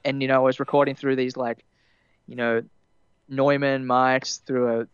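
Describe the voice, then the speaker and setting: Young man, australian accent with american edges, smooth voice, slightly muffled sound, rambling with fillers. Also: medium pitch, little pitch movement, normal speed, dry recording. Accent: Australian, partly Americanized. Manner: rambling, many fillers and restarts, laughs easily. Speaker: musician guest. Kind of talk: casual interview chat.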